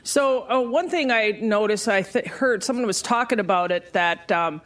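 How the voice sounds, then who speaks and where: A woman's voice: medium pitch, normal speed, fairly bright tone. A woman hosting a casual podcast interview, asking questions in a hesitant way with fillers. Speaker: podcast host.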